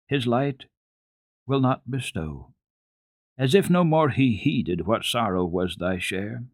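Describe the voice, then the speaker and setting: An earnest, trusting little girl from a children's storybook, acted out by a man, speaking with simple, solemn assurance. The voice is husky and recorded very dry, with little room around it. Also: lowish voice, a little mellow, no room sound, full character voice.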